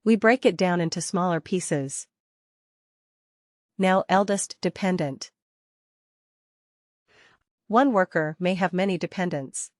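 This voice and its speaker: A woman working as a software trainer, teaching interactively step by step, repeating key points and posing questions to learners. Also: fairly low pitch, takes it slowly, long pauses, no room sound, studio quality.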